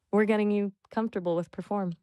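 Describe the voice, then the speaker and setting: A woman coaching English conversation, patient and full of praise, explaining word choices clearly. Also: mid-range voice, moderate pace, a crowd in the background. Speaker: English tutor. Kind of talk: encouraging language coaching.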